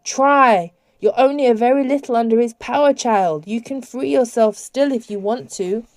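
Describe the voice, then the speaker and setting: A woman voicing a calm, firm, fatherly male guardian creature in a storybook, giving measured warnings. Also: a little high, no room sound, voice pushed up, lightly acted. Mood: grave warning. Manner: soft-spoken, measured warnings.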